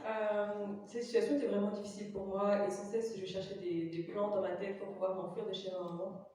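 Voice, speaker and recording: A young woman from Belgium with a Belgian French accent, giving a halting personal testimony in a chapel, pausing often with fillers. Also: mid-range voice, flat delivery, warm tone. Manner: hesitant, many fillers. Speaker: testimony giver. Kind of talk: personal testimony in church, interpreted. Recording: echoey chapel from far off.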